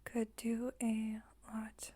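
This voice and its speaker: A woman playing a composed, formal vampire coven leader in an ASMR roleplay, whispering softly in a measured, instructive, gently wry way. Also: a little high, monotone. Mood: wryly instructive. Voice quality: breathy, whispered, soft.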